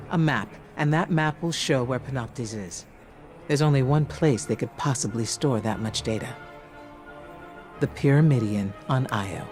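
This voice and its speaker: Resolute, mid-pitched male leader, acted. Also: close to an everyday voice. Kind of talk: scripted game cutscene acting.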